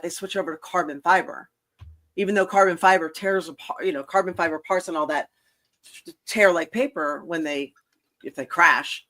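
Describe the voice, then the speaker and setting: Chatty woman host with quick asides. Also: fairly low pitch, fairly bright tone. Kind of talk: casual chat.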